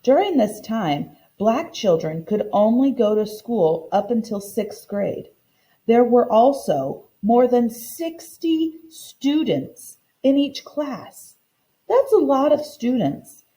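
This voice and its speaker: Female teacher for a kids' read-aloud: lively intonation, soft mellow tone, simple and explanatory. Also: on the high side, poor audio. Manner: simple, explanatory teacher talk addressed to young children. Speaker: teacher reading a picture book aloud.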